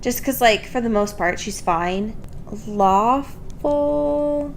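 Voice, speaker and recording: A woman's voice: fairly high pitch, lively intonation, slow pace, a little hiss or hum. A young woman co-hosting a podcast in casual, irreverent chat, talking in a slangy, sweary, self-mocking way. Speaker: podcast co-host.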